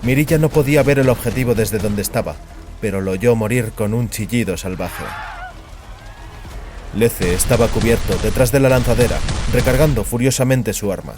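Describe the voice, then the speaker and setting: Un hombre que narra un relato dramático con guion, como narrador de audioficción. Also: male voice, medium pitch, normal speed, over music. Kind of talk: scripted dramatic story narration.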